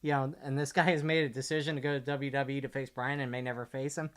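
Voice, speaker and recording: A man co-hosting a talk podcast, discussing sports casually and analytically, measured and point by point. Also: mid-range voice, flat delivery, clear, resonant tone. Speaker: podcast co-host.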